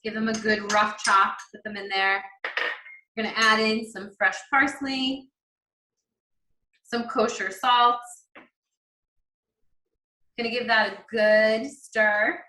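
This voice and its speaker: A woman's voice: a little high, level tone, slow, long pauses, bright, forceful tone, roomy sound, rough recording. A woman chatting and explaining a recipe step by step in an upbeat, instructional way. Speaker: guest demonstrating a recipe. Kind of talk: cooking demo chat on a video call.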